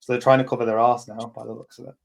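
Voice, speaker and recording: A man's voice: medium pitch, flat delivery, racing, creaky. A man in his thirties, hosting a live community chat as a company head, talking bluntly and rambling with lots of fillers and swearing. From England. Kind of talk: casual chat.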